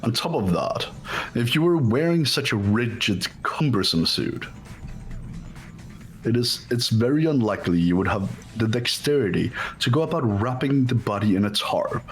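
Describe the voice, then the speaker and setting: A man voicing a composed, formal young rival who explains things in a measured way in a fan-dubbed game. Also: medium pitch, lively intonation, soft background music, close to an everyday voice. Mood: cool, explanatory. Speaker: amateur voice actor in a group playthrough. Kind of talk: fan dub of a visual novel game.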